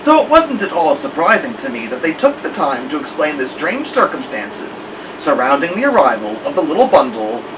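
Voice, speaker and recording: Through a muffled, noisy, distant recording comes a dignified old dog telling a storybook tale, as read by a man. The voice is on the high side and moves in lively swings, wry and fond of digressing. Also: moderate pace, few pauses. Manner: wry, digressive, formal wording. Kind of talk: first-person storybook narration.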